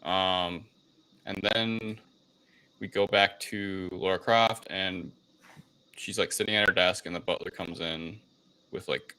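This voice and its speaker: A man with a low-pitched voice that still has a bright edge. He co-hosts a casual film discussion and rambles through it, unsure of his phrasing as he goes. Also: steady tone, takes it slowly, pauses often, clean tone, poor audio. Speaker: podcast co-host recapping a film.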